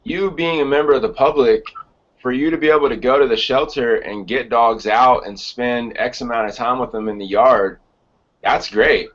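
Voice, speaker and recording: A man with a mid-pitched voice talks conversationally as the host of a casual interview podcast. The audio is a little dull and lo-fi. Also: moderate pace.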